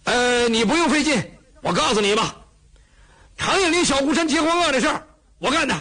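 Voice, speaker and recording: A man voicing a rough, loud young male bandit hero who talks bluntly and blusters. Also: very high voice, brisk, voice pushed up. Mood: blustering.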